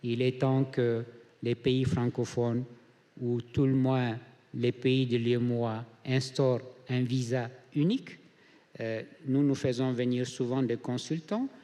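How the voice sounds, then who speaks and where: A man from Mali speaking on a conference panel as a hotel group founder, measured with frequent hesitations. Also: mid-range voice, steady tone, dark tone. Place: conference hall. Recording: reverberant conference hall.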